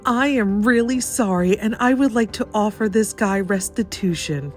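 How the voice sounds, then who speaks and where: A woman plays an earnest born-again husband in a dramatic story reading. The voice is lifted high and emphatic, humbly confessing and pleading for forgiveness. Music runs underneath. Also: moderate pace, natural voice.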